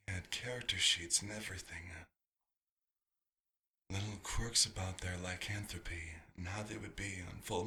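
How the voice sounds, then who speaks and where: Male actor as vampire doctor, very low voice, slow measured pace, theatrical, proud, brooding, ASMR roleplay. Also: bright, forceful tone, full character voice. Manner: musing, dramatic, slipping into breathy whispers.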